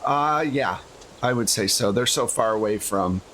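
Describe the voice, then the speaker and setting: A man's voice: on the low side, unhurried, a bit bright, slight background noise. A man running a tabletop role-playing game as game master, explaining rules and scenes in a casual, conversational way. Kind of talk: casual tabletop actual-play narration.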